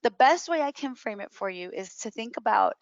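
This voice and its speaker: A woman's voice: a little high, expressive, fairly quick, no echo, muffled recording. A woman giving explanatory career advice in a webinar, emphatic and stressing key words by repeating them. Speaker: career coach presenting a webinar.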